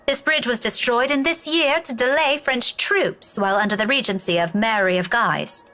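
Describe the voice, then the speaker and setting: A woman's voice: a little high, moderate pace, sharp tone. An actress playing an assertive, learned young woman in a video game, speaking precisely with polite stubbornness. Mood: defiant, knowing. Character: assertive, learned young redheaded female rival.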